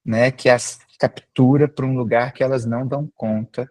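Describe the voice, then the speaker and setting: A man leading a reflective group discussion on a video call, speaking in a thoughtful, halting way. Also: medium pitch, steady tone, a little mellow, no room sound. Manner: thoughtful, halting, with repeated words. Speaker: group facilitator.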